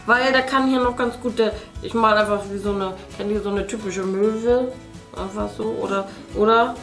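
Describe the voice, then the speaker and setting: A woman's voice: medium pitch, fairly even intonation, moderate pace. A woman in her thirties chatting casually to young viewers as the host of a family video, explaining things directly to them. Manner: speaks to children, addressing viewers directly. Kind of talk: casual chat, explaining to viewers while painting. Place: indoor room at a table. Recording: roomy sound, faint music. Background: music.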